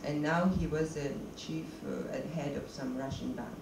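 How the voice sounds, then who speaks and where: A middle-aged woman with a gravelly, creaky voice, speaking into a handheld mic on a stage. She pauses often to hunt for words in English. The sound is echoey and noisy, picked up from a distance in a screening hall. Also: a bass voice, fairly even intonation. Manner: searching for words, non-native English. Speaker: documentary filmmaker, guest. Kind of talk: stage Q&A interview.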